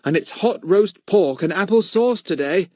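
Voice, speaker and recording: A man's voice: high-pitched, animated, moderate pace, no echo, clean studio sound, voice pushed up. A man voicing an eager, friendly, questioning schoolboy sidekick in a children's storybook, earnest and boyish.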